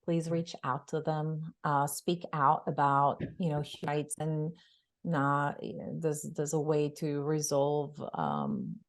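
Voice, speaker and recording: A woman's voice: low-pitched, lively intonation, takes it slowly. A woman from Israel, a guest expert on a webinar, speaking earnestly over a video call. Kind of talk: webinar talk.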